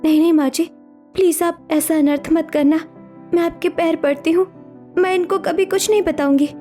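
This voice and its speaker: A woman's voice: very high voice, fairly even intonation, moderate pace, mellow, faint music behind. A woman playing a meek, obedient young village daughter-in-law in a cartoon story, speaking submissively. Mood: pleading, distressed. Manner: submissive, pleading. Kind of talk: dramatic Hindi cartoon story acting.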